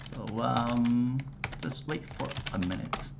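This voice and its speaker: A man hosting a casual game livestream, talking in halting, filler-heavy phrases. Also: medium pitch, gravelly. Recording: steady background noise with typing.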